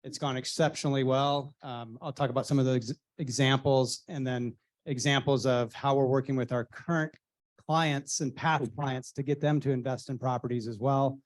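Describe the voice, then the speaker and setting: A man introducing himself on a real estate panel, relaxed and straightforward. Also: medium pitch.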